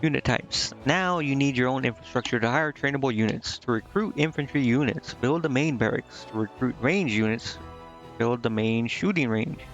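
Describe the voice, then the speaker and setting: A man's voice: mid-range voice, moderate pace, dull audio. A man doing casual live game commentary as a streamer, hesitantly thinking aloud to himself and reading on-screen text out. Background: game music.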